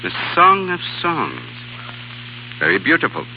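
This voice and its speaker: An actor playing a shrewd, genteel art dealer in a 1930s radio drama, bargaining coolly and politely. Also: male voice, a little high, wildly swooping pitch, a little slow. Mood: covetous. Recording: dull 1930s radio with hiss.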